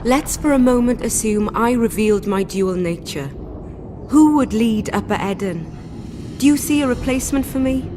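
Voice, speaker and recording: An actress playing a proud young female rebel commander in a fantasy game, speaking in an earnest, commanding, measured way. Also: a little high, animated, background noise, close to an everyday voice. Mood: resolute. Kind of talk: dramatic fantasy game acting. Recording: loud background music under dialogue.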